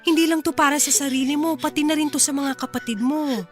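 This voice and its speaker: A woman's voice: high voice, fairly even intonation, fast talker, soft background music, close to an everyday voice. A woman playing a firm, protective social worker in a radio drama, speaking earnestly and persuasively. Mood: earnest, reassuring.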